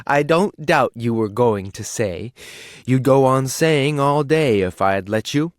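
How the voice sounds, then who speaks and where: A man voicing a bossy, fussy cave housewife who scolds her husband in a raised, shrill voice. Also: lively intonation, dry recording, clean studio sound. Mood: scolding. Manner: scolding, shrill, fast-changing fuss.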